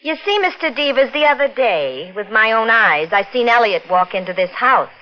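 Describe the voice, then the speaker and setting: Coarse, scheming blackmailer, sly and folksy, animated; muffled vintage broadcast. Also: female voice, a little high, normal speed. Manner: folksy ungrammatical speech. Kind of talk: 1950s radio drama acting. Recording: dull vintage radio broadcast.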